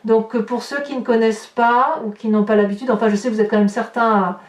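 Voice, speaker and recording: A woman's voice: fairly high pitch, fairly even intonation, fast talker. A woman improvising a tarot reading for her viewers, speaking expressively and addressing them directly. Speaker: tarot reader hosting a horoscope video. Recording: roomy echo, distant microphone.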